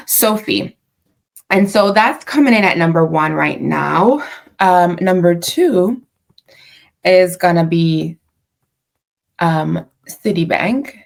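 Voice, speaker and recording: A woman's voice: on the low side, vocal fry. A woman with a Jamaican accent, hosting a casual, chatty finance explainer with frequent fillers and self-corrections. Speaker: personal finance channel host. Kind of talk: casual explainer talking to camera.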